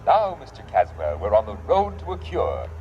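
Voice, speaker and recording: Smug alien therapy machine, clinical, animated intonation, 1950s radio, muffled noisy recording. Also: male voice, on the low side, normal speed, gravelly, faint music behind, full character voice. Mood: smug, soothing.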